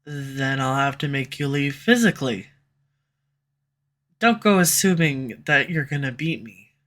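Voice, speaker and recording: A woman voicing a sharp-tongued, tsundere female villain who swears and speaks sarcastically, recorded close on a studio condenser mic. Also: very deep voice, animated, unhurried. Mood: dismissive. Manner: sarcastic, swears, turns confessional. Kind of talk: audio roleplay. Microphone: studio USB condenser mic.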